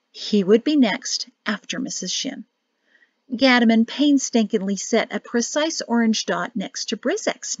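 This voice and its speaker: A woman with a rough, crackling voice, nearly losing it, reading a novel aloud as narrator. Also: fairly high pitch, dry recording, highs cut off. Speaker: audiobook narrator.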